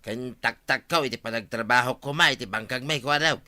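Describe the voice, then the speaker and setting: An actor playing a plain-spoken village farmer in an Ilocano-accented radio drama, plaintive and emphatic in manner. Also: male voice, mid-range voice, brisk, bright, forceful tone, no room sound. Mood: aggrieved. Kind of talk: Philippine radio drama acting.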